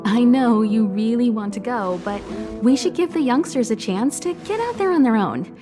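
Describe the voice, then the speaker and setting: Woman as game crew leader, slightly high pitch, poised, caring, articulate exposition, clear music behind. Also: expressive, moderate pace, natural voice. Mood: calm, guiding. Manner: composed, reassuring, articulate.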